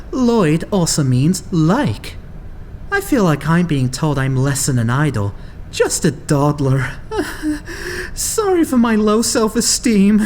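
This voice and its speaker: Slow-speaking, meek, gloomy young idol, acted by a woman. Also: on the low side, sing-song, a little mellow, slight background noise. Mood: self-pitying. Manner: meek, self-deprecating. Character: timid, gloomy young idol with crushing low self-esteem. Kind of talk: anime game fandub acting.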